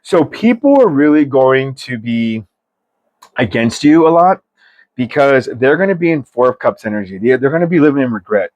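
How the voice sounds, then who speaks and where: A man's voice: medium pitch, very animated, normal speed, darkish tone, no echo, highs cut off. Man giving an emphatic, slangy tarot reading. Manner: emphatic pep talk, slangy, mildly profane. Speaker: tarot reader. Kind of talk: informal, chatty tarot reading to viewers.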